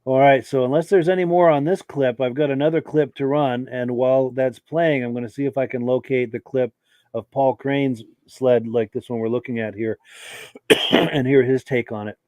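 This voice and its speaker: A man hosting a live podcast who has a cold and sounds hoarse, reading out viewer comments with short, easygoing reactions. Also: medium pitch, moderate pace, dry recording. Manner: relaxed, reads comments aloud with brief reactions. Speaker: podcast host.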